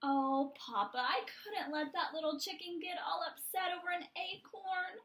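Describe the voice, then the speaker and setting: Little hen child, played by a woman, storybook. Very high, bright, excitable, earnest, urgent. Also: moderate pace. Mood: pleading, apologetic. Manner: blurts out urgent warnings, pleading. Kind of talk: picture-book read-aloud.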